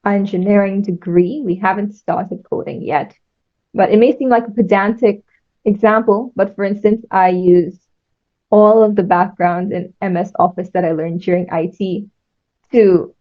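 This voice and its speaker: A young woman from Trinidad, answering interview questions in a measured, thoughtful way. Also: mid-range voice, dull audio. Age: young adult. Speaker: engineering student guest.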